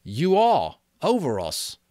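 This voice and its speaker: A man plays a frightened young boy from a storybook, pitched up high and shrill. He pleads and protests defensively, with excitable swings in a close, dry recording. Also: slow pace, dry recording, full character voice. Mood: pleading.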